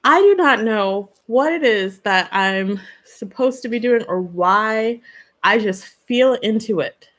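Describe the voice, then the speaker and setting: A woman's voice: on the high side, lively intonation, slow. Earnest woman giving spiritual guidance. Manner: earnest, answers viewers by name; asks questions and gives gentle advice. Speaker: spiritual channeler hosting a live stream. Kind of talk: live-streamed spiritual guidance.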